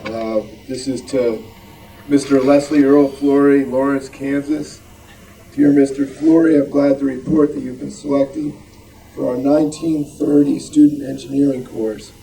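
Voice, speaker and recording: A man's voice: medium pitch, flat delivery, measured pace, creaky, warm tone. A man reading aloud to a group at a show and tell. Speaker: club member at show and tell. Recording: distant mic, faint noise.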